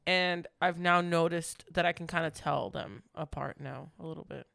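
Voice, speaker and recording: A man with a fairly high, gravelly voice, chatting loosely and laughing a lot. The sound is dry and close, with no room around it. He rambles from one thought to the next. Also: fairly even intonation, normal speed, bright, forceful tone, no room sound. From United States. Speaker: podcast co-host. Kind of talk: casual chat.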